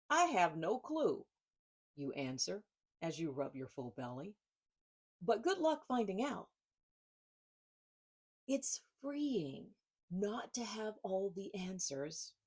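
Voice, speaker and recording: Woman, slightly low voice, author presenting her book, expressive reading, deliberate emphatic phrasing, pauses. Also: husky. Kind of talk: informal talk and expressive book reading to camera.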